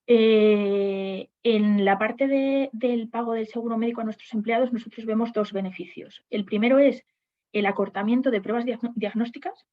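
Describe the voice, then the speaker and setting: A woman's voice: a little high, steady tone, moderate pace, muffled recording. A woman on a webinar panel discussing HR topics, speaking hesitantly with frequent restarts and fillers.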